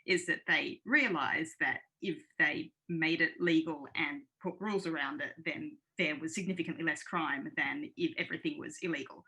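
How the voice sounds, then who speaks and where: A woman's voice: deep voice, moderate pace, hardly any pauses, bright, forceful tone, low-quality recording. A woman in a casual discussion as a podcast panelist.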